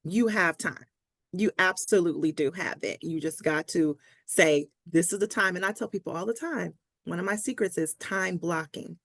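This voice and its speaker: A woman with a fairly low voice in a dry, close-sounding recording. She coaches conversationally, with an encouraging, emphatic delivery that circles back on key phrases to drive them home. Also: normal speed, creaky, a bit bright, no echo. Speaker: Spanish language coach, interview guest. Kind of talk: conversational coaching pep talk.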